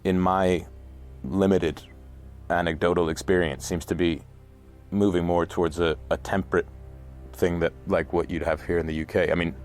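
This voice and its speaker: Thoughtful deep-voiced man from New York. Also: little pitch movement, darkish tone, soft background music. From New York, United States. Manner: halting, thoughtful. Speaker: actor interviewed about his part. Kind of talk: reflective interview talk.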